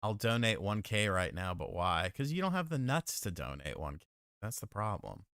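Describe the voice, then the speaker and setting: A man with a bright, smooth voice hosts a casual gaming chat. He reads viewer messages and tells lively, swearing-heavy stories about arcades. The close mic leaves his voice dry and clean. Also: lowish voice, moderate pace, no echo. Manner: profane, animated storyteller. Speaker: podcast host reading super chats.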